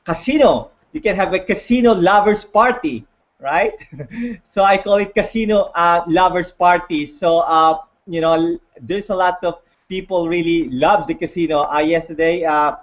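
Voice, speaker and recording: A man with a Filipino accent speaks English as a second language in a high, light voice. He talks in halting bursts full of fillers and restarts. The sound is dull and lo-fi, as from a video call. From Philippines. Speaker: guest on online call. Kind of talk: casual hesitant testimony.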